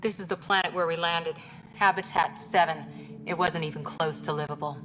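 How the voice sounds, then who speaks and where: A woman's voice: on the low side, fairly even intonation, normal speed, background noise, natural voice. A woman playing a matter-of-fact human crew member in a video game. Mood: sober. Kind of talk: video game cutscene acting.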